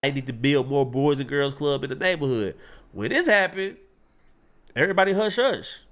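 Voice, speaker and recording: A man's voice: mid-range voice, expressive, normal speed, fairly bright tone. A man in an online commentary video, talking in an emphatic, rhetorical, preachy way.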